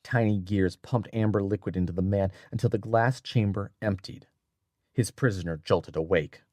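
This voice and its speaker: Male narrator, lowish register, dry sound, deliberate dramatic audiobook storytelling. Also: mellow, dry recording.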